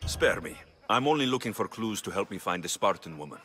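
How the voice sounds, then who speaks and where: Blunt, low-voiced mercenary. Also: male voice, big pitch swings, fairly quick, a bit bright. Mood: matter-of-fact. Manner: blunt, direct. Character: gruff young male Greek mercenary, blunt and wry. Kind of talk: video game cutscene acting.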